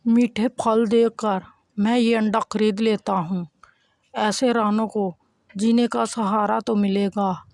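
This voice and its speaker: Woman as a slow, earnest father bird. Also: medium pitch, steady tone, darkish tone, no echo. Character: gentle, caring father bird, consoling husband. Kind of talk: Hindi children's moral cartoon acting.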